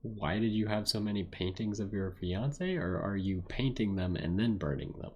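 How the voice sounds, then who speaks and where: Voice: low-pitched, moderate pace, darkish tone, muffled recording. A game streamer giving casual playthrough commentary in dry, sceptical asides.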